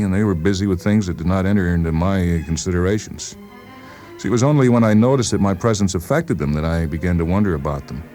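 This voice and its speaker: A man voicing a defensive, suspicious psychiatric patient with an insistent, halting delivery in a radio story reading. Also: deep voice, warm tone. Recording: voice over background music.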